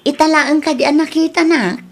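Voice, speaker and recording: A woman's voice: high-pitched, fairly even intonation, normal speed. A woman with an Ilocano accent playing a pushy, teasing friend who lends money, in a comedy radio drama. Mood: insistent. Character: pushy woman creditor friend demanding her money back.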